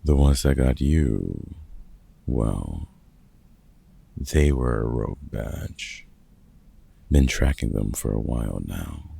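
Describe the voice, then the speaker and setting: A man acting out a gruff, sarcastic older vampire hunter who mentors with grumpy care, husky and dryly teasing, in an audio roleplay. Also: extremely low pitch, expressive, slow, long pauses, warm tone, heavily acted. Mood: dry, wry. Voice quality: gravelly, husky. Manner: dry, teasing, sardonic.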